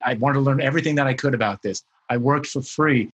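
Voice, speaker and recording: A man with a husky voice at a middling pitch hosts a conversational interview. He reflects on what he has heard and draws out themes in a casual, thoughtful way. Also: fast. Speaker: interviewer, host.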